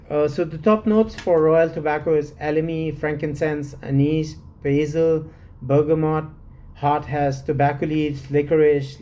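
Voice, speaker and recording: Man, mellow low-key voice, unhurried, hesitant, many fillers, solo review. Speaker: fragrance reviewer.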